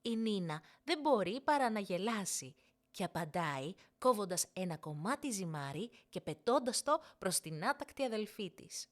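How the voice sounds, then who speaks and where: A woman reading a children's audiobook as narrator, in an expressive scripted storytelling style. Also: medium pitch.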